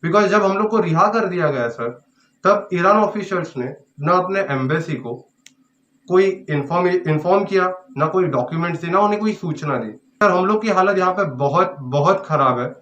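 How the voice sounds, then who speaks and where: Young man from Maharashtra, pleading appeal, slightly high voice, echoey dull phone audio with faint music. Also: animated, moderate pace, fairly bright tone. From Maharashtra, India. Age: 20s. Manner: pleading, repeatedly addressing "sir". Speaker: stranded sailor appealing for help. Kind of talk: self-recorded video appeal. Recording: echoey, dull self-made phone video.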